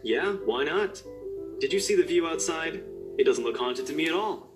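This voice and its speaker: A man's voice: on the high side, expressive, moderate pace, close to an everyday voice. Man acting casual, teasing young guy over music. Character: teasing, casual young man, dependable but awkward friend. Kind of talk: visual novel game voice acting. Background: video game music.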